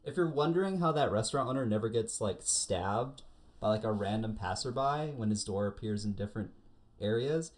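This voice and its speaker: A man co-hosting a casual podcast about anime, telling stories in an animated way. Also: on the low side, brisk. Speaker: podcast co-host.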